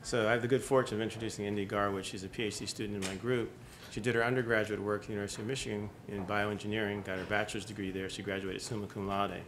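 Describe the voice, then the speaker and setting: A middle-aged professor introducing his student at a podium, speaking briskly and restarting his phrases. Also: fairly low pitch, flat delivery, noisy background. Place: lecture hall at a podium. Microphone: podium microphone.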